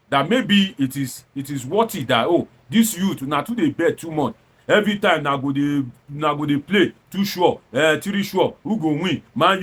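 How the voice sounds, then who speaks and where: Animated Nigerian man, swooping higher-pitched voice. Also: slightly rough. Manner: animated, explains with examples and rhetorical questions. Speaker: political commentator. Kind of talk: informal opinion commentary, slipping into Pidgin.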